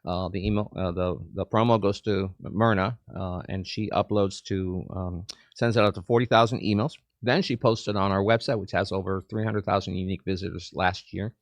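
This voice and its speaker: A man's voice: low voice, fairly even intonation, fairly quick, hardly any pauses, no echo. A man from Cuba, a chamber of commerce president, talking eagerly as a guest in a studio interview and often repeating words. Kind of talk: casual interview chat.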